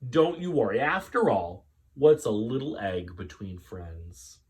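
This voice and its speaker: A man's voice: on the low side, lively intonation, measured pace, a little mellow. A man voicing a kindly, weary older woman from a children's storybook, with exclamatory, singsong reassurance. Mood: reassuring.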